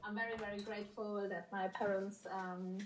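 A woman's voice: mid-range voice, little pitch movement, brisk, a little hiss or hum. A young adult woman from Germany, chatting as a podcast guest, giggly and full of fillers and restarts. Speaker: guest, pleasure and intimacy coach. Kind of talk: casual podcast interview.

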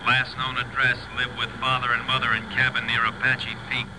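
Clipped police dispatcher, noisy recording. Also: male voice, medium pitch, monotone, brisk, sharp tone. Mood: businesslike. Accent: American. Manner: clipped, formal. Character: businesslike police radio dispatcher. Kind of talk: old-time radio drama acting. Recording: noisy vintage radio recording.

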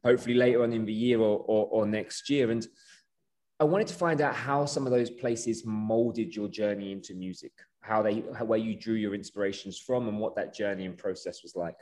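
Male podcast host, low voice, earnest, effusive, rambling questions. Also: fairly quick, darkish tone. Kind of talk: casual podcast interview.

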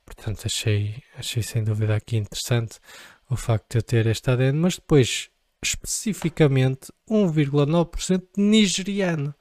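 A man's voice: medium pitch, normal speed, a little mellow, dry recording, studio quality. A young man from Portugal with a European Portuguese accent, chatting casually to camera in a joking, rambling way. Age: young adult. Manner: joking, rambling, playful asides. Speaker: vlogger.

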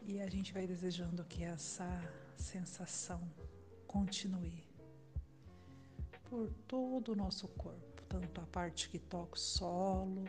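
A Brazilian woman guiding a relaxation meditation in a soft, soothing way, with long pauses and breathy phrase endings. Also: lowish voice, fairly even intonation. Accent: Brazilian Portuguese. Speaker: meditation guide. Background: background music.

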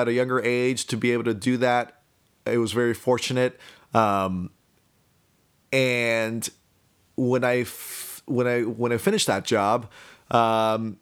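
A young man from talks casually about himself, thinking aloud in a rambling, hesitant way. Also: mid-range voice. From Miami, United States. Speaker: speaker telling about own life. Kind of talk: casual personal monologue.

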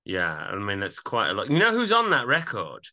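A man's voice: on the low side, wildly swooping pitch, moderate pace, bright, forceful tone. A man co-hosting a music podcast, casually sharing information about albums in a conversational way.

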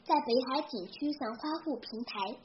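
A teenage girl from Hefei narrating a scripted legend in a careful, expressive recital style. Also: on the high side, normal speed. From Hefei, Anhui, China. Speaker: young storyteller. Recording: dull lo-fi recording with clear background noise.

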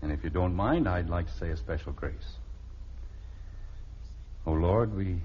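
An actor playing a middle-aged American father, calm, wry and fond, reassuring and gently in charge, in an old radio family comedy. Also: low-pitched, a little slow, a little hiss or hum. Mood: solemn, grateful. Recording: dull old radio recording.

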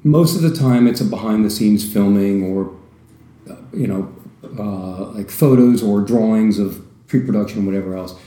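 A man with a deep voice and an American accent, a podcast guest telling stories with lively asides. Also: moderate pace, echoey room. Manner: storytelling, animated asides. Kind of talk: casual podcast chat.

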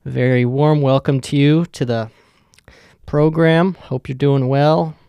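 A man's voice: mid-range voice, steady tone, takes it slowly, gravelly, mellow, clear, resonant tone, no echo. A young man hosting his own podcast, talking in a loose, joking and rambling way, with lots of swearing. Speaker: podcast host. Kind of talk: casual rambling monologue.

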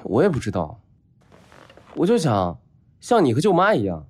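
An actor playing a spoiled, dramatic, lovelorn heir of about thirty in a modern audio drama, with a whiny, theatrical delivery. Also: male voice, mid-range voice, huge pitch swings, normal speed. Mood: dejected, wistful.

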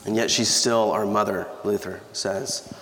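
Scratchy-voiced pastor in an echoey church. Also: male voice, fairly low pitch, steady tone, unhurried, a bit bright. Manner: conversational, humorous, with restarts. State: scratchy voice from allergies. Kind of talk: sermon. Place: church sanctuary. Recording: echoey church hall with reverb.

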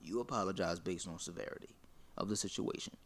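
A man's voice: sits low, fast talker, vocal fry. A man arguing his point in a heated casual conversation, blunt and profane.

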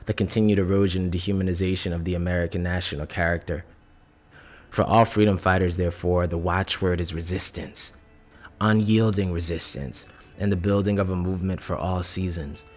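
Very deep-voiced man reading formally. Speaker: reader of a political essay. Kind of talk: scripted reading of a political essay.

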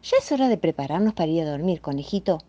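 A woman voicing a gentle, patient mother rabbit who speaks softly. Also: sits low, sing-song, fast talker. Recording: dull lo-fi recording.

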